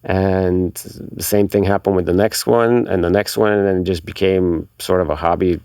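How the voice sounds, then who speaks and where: Low-voiced man telling stories, close and dry. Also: fairly even intonation, moderate pace, creaky, darkish tone, dry recording. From Bulgaria. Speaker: podcast guest, car enthusiast. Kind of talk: casual interview storytelling.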